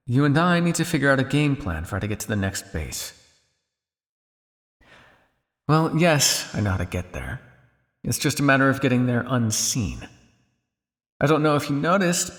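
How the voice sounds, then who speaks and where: A man's voice: medium pitch, sing-song, moderate pace, in a large hall, natural voice. A man playing a cynical, world-weary vampire scientist on the run in an intimate, condescending ASMR roleplay monologue. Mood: dry, sardonic. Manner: sardonic, condescending, with exasperated sighs and dry asides.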